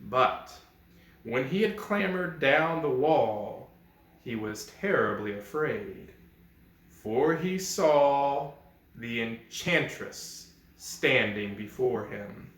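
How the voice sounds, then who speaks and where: A man narrating a fairy tale as a storyteller, with expressive, dramatic and deliberate phrasing. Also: mid-range voice. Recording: echoey, roomy sound.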